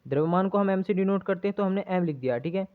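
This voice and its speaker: A man's voice: high-pitched, fast talker. A man giving an exam-prep lesson as a physics teacher, explaining step by step, repeating himself and checking in often. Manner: repetitive, checks in often with tag questions. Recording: muffled, narrow lo-fi sound.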